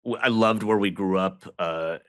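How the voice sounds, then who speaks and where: A man from Southern Illinois, a guest on a podcast, talking in a relaxed, conversational way with fillers and restarts. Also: fairly low pitch, normal speed, no echo, studio quality. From Southern Illinois, United States. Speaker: guest, water agency general manager. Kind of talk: casual podcast interview.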